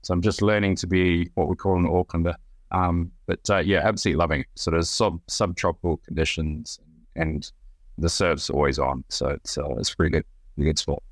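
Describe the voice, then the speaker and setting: A man's voice: deep voice, fairly even intonation, a little fast, dry recording, studio quality. A middle-aged man with a New Zealand accent, talking casually as a podcast guest and rambling through restarts and fillers. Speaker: guest, brand director.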